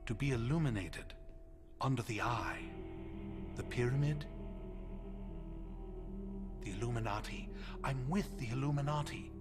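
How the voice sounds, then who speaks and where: A man's voice: medium pitch, close to an everyday voice. Man playing a conspiracy-obsessed small-town preacher over music. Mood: mystical, conspiratorial, then sheepishly proud. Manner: sermonizing, florid phrasing with halting hesitations. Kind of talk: video game cutscene monologue. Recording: music under the voice. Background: background music.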